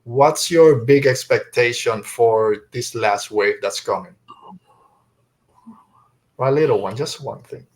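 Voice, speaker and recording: A man hosting a podcast in a casual fan chat, talking in a halting, rambling way with trailing thoughts. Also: medium pitch, low-quality recording. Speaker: podcast host.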